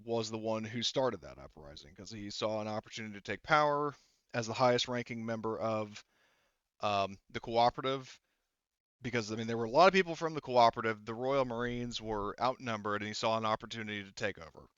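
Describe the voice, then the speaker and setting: A man works as game master in a tabletop game, explaining things off the cuff. His voice is smooth and of medium pitch, but his phrasing is halting and wandering. The recording is close and dry, a little muffled on top. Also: a bit bright, no echo. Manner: halting, filler-heavy, rambling explanations. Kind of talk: improvised tabletop game narration.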